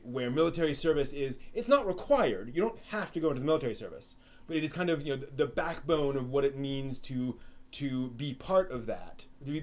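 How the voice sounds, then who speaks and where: A man's voice: mid-range voice, a little mellow. A man hosting a live stream, chatting casually and answering questions from the chat, rambling with lots of fillers and restarts.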